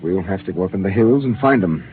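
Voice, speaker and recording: An actor playing a calm, steady spaceship captain who speaks in a measured, commanding way in a 1950s radio drama. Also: male voice, low voice. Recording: lo-fi old radio broadcast.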